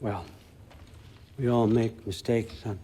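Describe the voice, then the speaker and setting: A man's voice: on the low side, fairly even intonation, slow pace, mellow, a little hiss or hum. An older man acting as a folksy, paternal, manipulative god-king in a video game cutscene. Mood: calm, reproachful. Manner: casual, folksy, coaxing, commanding when crossed.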